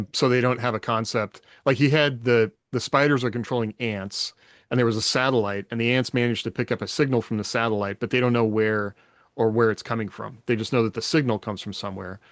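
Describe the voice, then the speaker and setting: A man talks in a casual, musing way, as if working ideas out while he speaks. His pitch sits in the middle for a man. The sound is dry, with next to no room on it. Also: steady tone, fairly quick, no room sound. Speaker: podcast co-host. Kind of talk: casual chat about science fiction.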